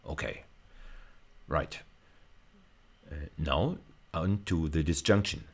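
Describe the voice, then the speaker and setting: Male university lecturer, very deep bass voice, step-by-step technical explanation, repeated and rephrased phrases. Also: takes it slowly.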